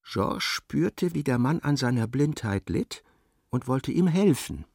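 A man's voice: mid-range voice, sing-song, measured pace, gravelly, darkish tone, breathy edge, no room sound, clean studio sound, full character voice. A man narrating a literary radio play in a dramatic, scripted style.

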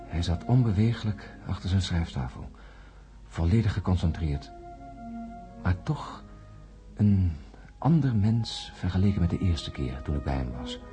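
A man playing a grim astronaut who narrates his ordeal in a radio drama, grave and measured. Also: sits low, expressive, over music. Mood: grave, reflective. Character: grim astronaut Peter recounting his ordeal and warning of doom. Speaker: narrator and main character.

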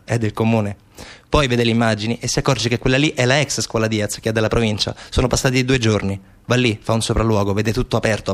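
A man performing a theatrical narrative monologue as a stage actor, with an ironic, questioning manner. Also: mid-range voice. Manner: rhetorical questions, ironic, acting out quoted replies.

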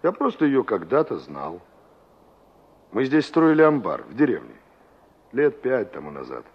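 A dull, vintage radio drama recording of a young male engineer. His voice is husky and moves up and down a lot. He is dry, probing and impatient, in the acting style of 1960s Soviet radio. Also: fairly low pitch, wildly swooping pitch, unhurried, exaggerated voice. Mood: calming, defensive.

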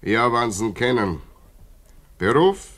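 An actor playing a brusque, weary hiring clerk in a radio play, curt, clipped and officious. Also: male voice, mid-range voice, very animated, a little slow, a bit bright.

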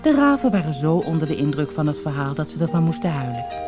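A woman narrating a children's fairy tale as a storyteller, reading in an expressive, dramatized way. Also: low-pitched, quick pace, over music.

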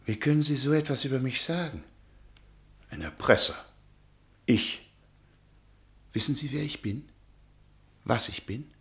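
An actor playing a naive, earnest, bookish gentleman who is easily flustered, in a German radio play. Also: mid-range voice, a little slow, pauses often. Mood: hurt, bewildered. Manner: pedantic, pleading, bewildered.

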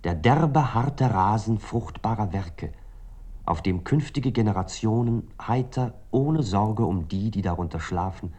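An earnest, low-voiced husky writer. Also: male voice, animated, measured pace, dark tone. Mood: grave, resolute. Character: reflective male writer, earnest and resolute about art. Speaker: actor playing the first-person narrator. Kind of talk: literary radio play monologue.

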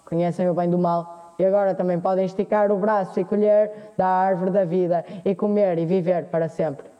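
A man playing a solemn, measured divine being passing judgment in a radio drama. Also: high voice, steady tone, lightly acted. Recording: muffled, long hall-like reverb.

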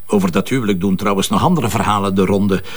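Flemish police commissioner, played by a male actor: measured, courteous, sceptical interrogator in a radio play. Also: lowish voice, lightly acted. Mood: probing. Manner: measured, formal questioning. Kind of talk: audio drama dialogue.

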